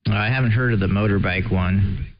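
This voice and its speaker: A man's voice: lowish voice, moderate pace, background noise. An American man chatting casually over two-way radio, hesitant and often searching for his words. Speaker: CB radio operator. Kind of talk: casual chat about video games. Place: on-air CB radio transmission. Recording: dull, muffled CB radio.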